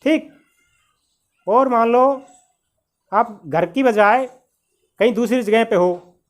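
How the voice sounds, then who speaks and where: Male teacher with a very high voice, drawling slowly through a beginner English class. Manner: patient, repeats each phrase, calls listeners "brother". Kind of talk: beginner spoken-English lesson.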